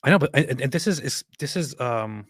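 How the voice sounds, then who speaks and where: A man's voice: mid-range voice, animated, fairly quick, husky, dry recording, studio quality. A man co-hosting a casual online commentary, reading posts aloud and commenting on them with voiced emphasis. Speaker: co-host reading and commenting on social media posts.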